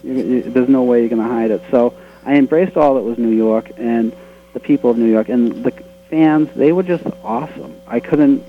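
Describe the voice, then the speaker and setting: A man's voice: mid-range voice, normal speed, a little hiss or hum. Boston-accented man on a tinny line. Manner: earnest, rambling with restarts. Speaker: webcomic creator guest. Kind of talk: casual interview talk. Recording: tinny phone-line sound.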